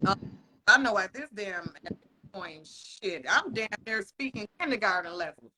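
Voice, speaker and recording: Frank, profane podcast co-host with a bright voice. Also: male voice, sits high, expressive, poor audio. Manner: frank and profane, laughs often, slows down to stress points. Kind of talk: casual podcast chat.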